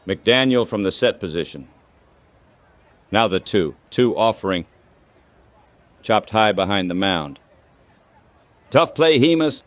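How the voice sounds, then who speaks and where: Slow-paced synthetic male baseball announcer. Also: lowish voice, expressive, no room sound. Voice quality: synthetic text-to-speech voice. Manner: short clipped phrases. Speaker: baseball play-by-play commentator. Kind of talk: radio-style baseball commentary.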